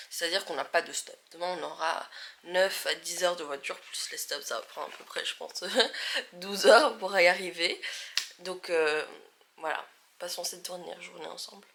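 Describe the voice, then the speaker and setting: A woman's voice: lowish voice, fairly even intonation, normal speed, creaky, fairly bright tone. A young woman from Belgium chatting casually to camera in a travel vlog, with chatty, self-mocking asides. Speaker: vlogger.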